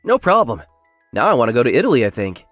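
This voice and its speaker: A man's voice: medium pitch, wildly swooping pitch, quick pace, a bit bright, dry recording, soft background music. A man playing a modest, plain-spoken young factory worker who narrates his own story in a manga dub. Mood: polite, earnest. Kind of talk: English manga dub acting and first-person narration.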